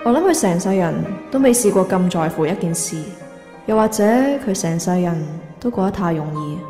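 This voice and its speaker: An actress playing a reflective young narrator in a first-person radio drama voice-over, with a Hong Kong accent. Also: female voice, on the low side, lively intonation, moderate pace, natural voice. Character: reflective young narrator recalling a close friend. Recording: echoey long reverb, loud music behind.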